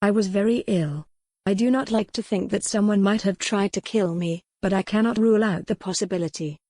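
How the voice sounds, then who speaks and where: A woman's voice: medium pitch, fairly quick, no room sound, clean studio sound, natural voice. A woman playing a frail young widow. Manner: formal, quiet and wry.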